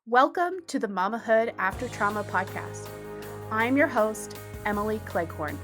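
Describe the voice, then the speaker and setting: A woman's voice: fairly high pitch, expressive, moderate pace, music in the background. A woman hosting a podcast, upbeat and encouraging as she talks with a guest. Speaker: podcast host. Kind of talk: podcast interview.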